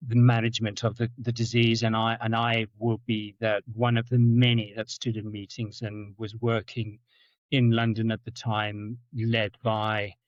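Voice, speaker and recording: A middle-aged man with a Zimbabwean-South African accent, telling stories as a podcast guest, often repeating words and jumping up in pitch for anecdotes. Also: completely flat delivery, measured pace, dry recording. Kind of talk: storytelling in conversation. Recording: dull, dry lo-fi sound.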